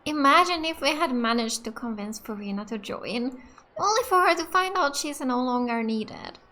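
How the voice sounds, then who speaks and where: A woman's voice: high-pitched, animated, normal speed, sharp tone. A woman giving casual reaction commentary as a let's play streamer. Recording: faint game music behind.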